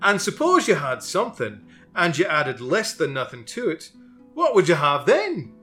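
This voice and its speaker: A man's voice: a little high, huge pitch swings, normal speed, bright, forceful tone, voice pushed up, exaggerated voice. A man voicing a pedantic many-faced geometric male figure with a put-on Scottish accent, with faint guitar music behind. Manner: pedantic, exclaiming.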